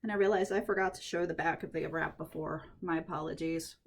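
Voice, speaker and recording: Woman, slightly croaky, lowish voice, casual tutorial chat, thinks aloud, at home. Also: fairly even intonation, fast. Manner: chatty, thinks aloud while working, frequent restarts. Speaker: head-wrap tutorial vlogger.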